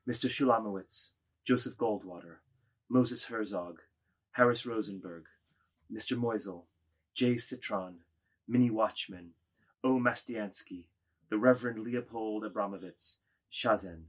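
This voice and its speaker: A man reading scripted literary stage directions as narrator, in a measured delivery. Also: lowish voice.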